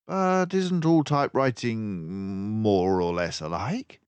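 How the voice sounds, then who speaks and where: A man's voice: fairly low pitch, takes it slowly, darkish tone, smooth, dry recording, pitched above the performer's own voice. A man voicing a loyal, stout-hearted mouse companion in a storybook, speaking earnestly and emphatically. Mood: puzzled. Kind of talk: storybook character voice.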